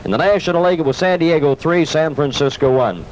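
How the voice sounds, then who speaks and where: A male sports reporter delivers a scripted 1970s bulletin in a slightly high voice. The old radio recording has faint noise behind him. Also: lively intonation, fast, rough recording.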